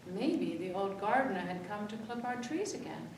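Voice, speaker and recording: Female children's storyteller, expressive read-aloud, heard at a distance in a very reverberant room. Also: moderate pace. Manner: expressive, acts out the dialogue. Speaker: library story-time reader. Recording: echoey room, distant mic, faint rumbly noise.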